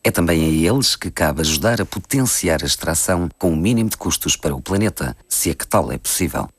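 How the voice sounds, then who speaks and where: A man's voice: sits low, animated, brisk, breathy edge, no room sound, studio quality. A man with a European Portuguese accent reading scripted documentary narration.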